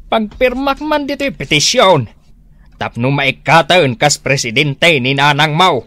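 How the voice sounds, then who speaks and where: A man's voice: a little high, lively intonation, unhurried, bright, forceful tone. A man playing an aggrieved older father with an Ilocano accent, stuck with the housework, in a comedy radio drama. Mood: curious, conspiring.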